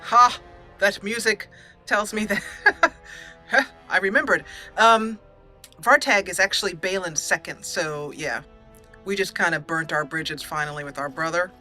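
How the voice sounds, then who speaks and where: A woman's voice: lowish voice, measured pace, sharp tone, faint music behind. A woman giving chatty, giggly let's play roleplay commentary, slipping into reading lines in character. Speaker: gaming channel host.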